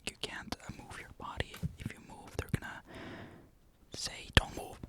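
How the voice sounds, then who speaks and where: A young man from Finland, whispering breathily in a casual, rambling ASMR-like monologue despite a sore throat. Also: moderate pace. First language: Finnish. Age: young adult. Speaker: military conscript recounting service.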